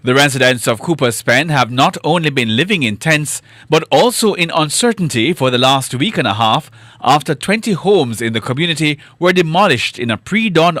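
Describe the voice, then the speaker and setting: News reporter guy, bright crisp voice, dead dry sound, measured read. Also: fairly high pitch, no echo. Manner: measured, formal.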